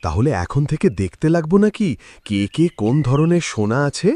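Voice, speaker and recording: A man's voice: a little high, very animated, brisk, mellow, no echo. A man voicing a simple, agreeable village farmer in a cartoon moral story, naive and hesitant. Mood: unsure.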